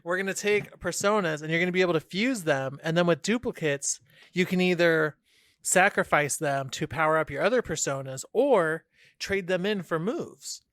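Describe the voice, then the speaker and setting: A man's voice: sits high, moderate pace, a bit bright, no room sound. A man co-hosting a casual brainstorming chat, speaking informally and emphatically with some swearing. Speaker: podcast co-host.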